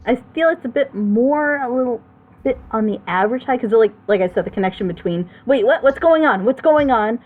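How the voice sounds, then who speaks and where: A woman's voice: a little high, lively intonation, a little fast. A woman hosting a group reaction show, chatting casually as she reviews an audio drama. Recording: noisy phone-like voice call.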